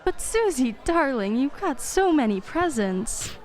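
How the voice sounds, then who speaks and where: A woman's voice: sits high, very animated, measured pace, a little mellow. A woman playing an earnest, tender mother in a radio play. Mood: earnest reassurance.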